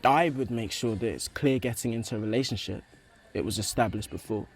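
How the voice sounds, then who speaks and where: A man's voice: lowish voice, a little fast, breathy edge. An actor playing an earnest, open young religious man in a naturalistic radio play, explaining himself thoughtfully.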